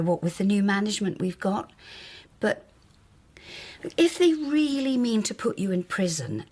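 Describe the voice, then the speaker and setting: An actress playing a matter-of-fact, gossipy hotel chambermaid, wary but helpful, confiding in a radio drama. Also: female voice, a little high, breathy edge.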